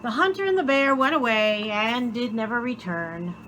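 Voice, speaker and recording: A woman reading a children's story aloud in an expressive, theatrical way, with deliberate dramatic pauses. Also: fairly high pitch, a little hiss or hum, poor audio. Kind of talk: expressive scripted story narration.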